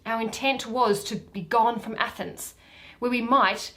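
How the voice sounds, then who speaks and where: Woman reading dazed young Lysander, slow. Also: on the high side, steady tone, fairly bright tone. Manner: halting, theatrical. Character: Lysander, dazed hesitant young Athenian man, half asleep. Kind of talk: solo Shakespeare reading.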